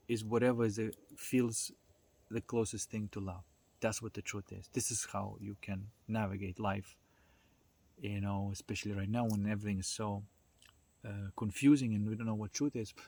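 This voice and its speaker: Guy with a lowish husky voice doing an off the cuff self-help talk, reflective, lots of pauses. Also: a little mellow. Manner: halting, reflective, thinking aloud with trailing pauses. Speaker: spiritual self-development speaker. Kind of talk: casual unscripted philosophical talk.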